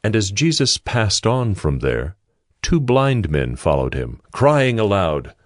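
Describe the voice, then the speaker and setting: Low voiced guy narrating scripture, solemn but lots of rise and fall, music underneath. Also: very animated, slow pace, dry recording, studio quality. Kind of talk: scripted dramatized Bible narration. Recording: music behind the voice. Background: background music.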